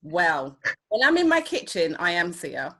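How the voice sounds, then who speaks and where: A woman's voice: on the low side, very animated, unhurried, bright, forceful tone. A woman hosting a casual, chatty arts interview, gushing with praise as she talks. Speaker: show host.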